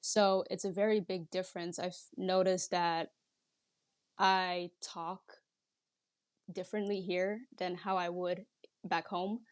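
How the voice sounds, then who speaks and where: A woman's voice: fairly low pitch, flat delivery, takes it slowly, no room sound, studio quality. A young woman from the Netherlands, chatting casually and reflectively as a podcast guest. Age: young adult. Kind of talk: casual reflective interview chat.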